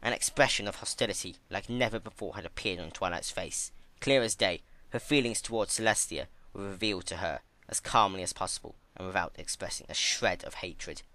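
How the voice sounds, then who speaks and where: A man narrating a dark story in a theatrical, intense, scripted dramatic style. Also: sits low, a little fast, few pauses, dry recording. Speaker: fan fiction narrator.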